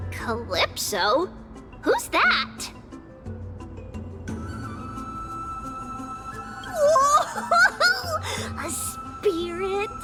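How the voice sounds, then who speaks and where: A bubbly little girl from an anime-style game. Her voice is extremely high and very animated, yet unhurried, with childlike eagerness, over clear background music. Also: heavily acted. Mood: curious, amazed. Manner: excitable, third-person childlike talk. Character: bubbly childlike little girl who speaks of herself by name.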